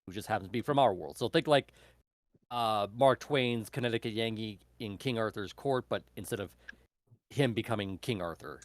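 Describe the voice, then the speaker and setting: A man in a book club casually explaining a recommendation in a halting, meandering way. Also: fairly low pitch. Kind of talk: casual chat, recommending books.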